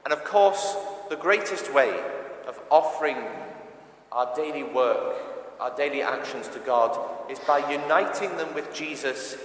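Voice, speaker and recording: A man's voice: on the high side, measured pace, fairly bright tone. Echoey church sermon from a male preacher. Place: large reverberant church interior. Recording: echoey church with long reverb.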